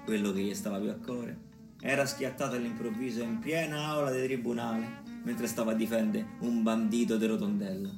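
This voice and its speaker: An actor playing a colloquial storyteller with a Roman accent, narrating a bawdy tragicomic tale in theatrical style for a radio play. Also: male voice, mid-range voice, fairly even intonation, brisk, natural voice. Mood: storytelling. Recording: roomy distant voice over clear music. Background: music.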